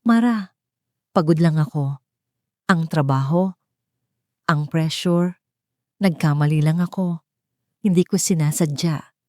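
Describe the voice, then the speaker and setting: A woman's voice: deep voice, slow pace, pauses often, dark tone, no room sound, studio quality. Woman narrator voicing a desperate, pleading husband. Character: desperate, pleading unfaithful husband making excuses.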